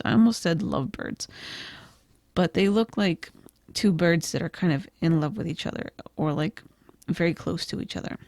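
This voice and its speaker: A woman losing her voice gives a gentle, reflective tarot reading, with frequent "I feel like" and "kind of". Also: low-pitched, gravelly, dry recording, clean studio sound. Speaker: tarot reader. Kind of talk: pick-a-card tarot reading.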